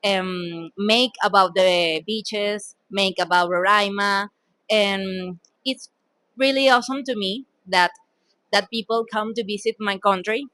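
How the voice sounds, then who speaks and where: Slow, bright-voiced Venezuelan woman. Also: mid-range voice, dry recording. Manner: hesitant, many ums. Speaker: interviewee. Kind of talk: street interview answers in a second language.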